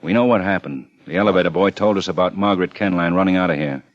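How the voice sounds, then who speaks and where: Low-voiced American police lieutenant, played by a man, old radio. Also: fast, lightly acted. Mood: businesslike, firm. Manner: dry, probing, understated. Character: shrewd, unhurried male police lieutenant. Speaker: police detective. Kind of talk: 1950s radio crime drama acting. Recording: muffled old radio broadcast, music behind.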